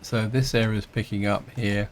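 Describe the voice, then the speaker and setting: A man, croaky from a throat infection, casually thinking aloud as he plays through a strategy game, with lots of fillers and restarts. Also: on the low side, steady tone, normal speed, a little hiss or hum. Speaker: gameplay host. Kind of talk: casual let's-play commentary.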